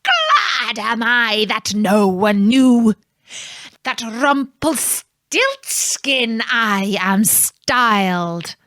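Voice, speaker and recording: A woman voicing a gleeful, bargaining, fierce-tempered little goblin man in a children's storybook, with drawn-out, emphatic delivery. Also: fairly high pitch, very animated, pushed and bright, no room sound, studio quality, exaggerated voice. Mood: gleeful boasting.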